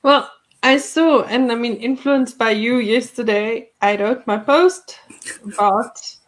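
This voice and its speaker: High, slow-talking woman with a Cape Town accent. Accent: Cape Town South African. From South Africa. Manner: unhurried, warm storytelling, laughs a lot. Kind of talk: casual conversation.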